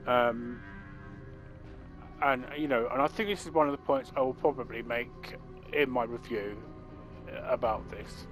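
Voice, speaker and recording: A man commenting over his gameplay, rambling and halting, with restarts and fillers. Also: mid-range voice, poor audio. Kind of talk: let's play commentary. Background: game music.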